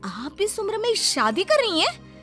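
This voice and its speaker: A woman's voice: extremely high pitch, huge pitch swings, measured pace, a bit bright, faint music behind, pitched above the performer's own voice, heavily acted. A woman voicing an indignant daughter-in-law who frets over family honour, insistent in a melodramatic story style. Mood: shocked, indignant. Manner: scolding, reproachful, insistent. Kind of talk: melodramatic Hindi story acting.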